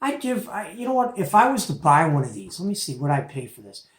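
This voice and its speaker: A man's voice: fairly high pitch, very animated, a little fast. A middle-aged man giving a casual, folksy and opinionated product show-and-tell as the host. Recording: echoey, roomy home recording.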